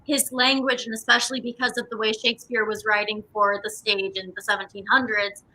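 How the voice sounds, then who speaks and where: A woman's voice: mid-range voice, level tone, a little fast, sharp tone, low-quality recording. A woman guest from a theatre company chatting enthusiastically and casually in an interview, often saying "like". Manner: enthusiastic, frequent "like".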